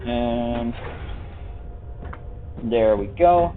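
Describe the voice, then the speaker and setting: Male game streamer: casual, offhand, playful self-talk, medium pitch, tinny band-limited sound. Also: expressive, very slow. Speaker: live streamer. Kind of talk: casual game playthrough commentary. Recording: tinny narrow-band stream audio, faint noise.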